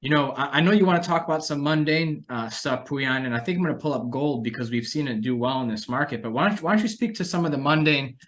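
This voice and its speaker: A man's voice: mid-range voice, fast, few pauses, a bit bright. A man, hosting a conversational discussion of financial markets as an analyst.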